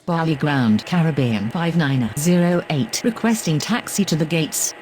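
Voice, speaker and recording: A woman's voice: low-pitched, animated, normal speed, a little mellow. A woman voicing a composed, formal air traffic radio operator in a game, using clipped phraseology.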